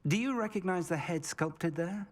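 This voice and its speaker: An actor playing an older male professor in a video game, an earnest and careful mentor with a slightly husky voice. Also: high voice, normal speed, natural voice. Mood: intrigued, instructive. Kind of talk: video game cutscene acting.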